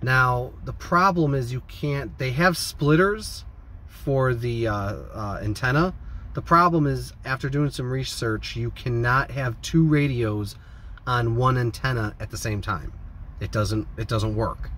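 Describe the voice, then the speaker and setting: A man's voice: medium pitch, a little slow, pushed and bright. A man, chatting casually to camera in a rambling, informal way. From American Midwest. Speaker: vlogger. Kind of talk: casual vlog chat to camera. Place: inside a parked van. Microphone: phone or camera at arm's length. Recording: clear background noise, vehicle ambience.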